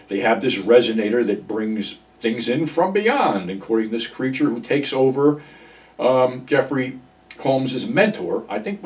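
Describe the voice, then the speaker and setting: Older male host, casual rambling film recap, mic a little distant in a small room. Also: medium pitch. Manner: rambling, frequent ums and uhs, frank and profane. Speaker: horror film reviewer. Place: small home office with computers, indoors. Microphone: far camera, seated at desk.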